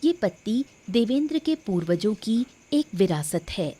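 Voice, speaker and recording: A woman's voice: medium pitch, big pitch swings, measured pace, dark tone, husky. A woman reading scripted narration for a cartoon moral story. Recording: music bed under the voice.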